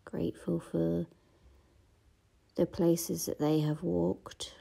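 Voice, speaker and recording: A woman guiding a gratitude body scan meditation, speaking softly and slowly and often dropping into a half-whisper. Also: lowish voice, flat delivery, vocal fry, slightly rough. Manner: slow, soft-spoken, often half-whispered. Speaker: meditation guide. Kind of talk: guided meditation.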